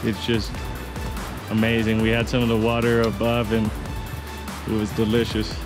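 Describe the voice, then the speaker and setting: A man casually narrating his mountain hike outdoors in a relaxed, unhurried way, with a few fillers. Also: lowish voice, over music. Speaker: hiking vlogger. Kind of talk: casual narration of a hiking trip.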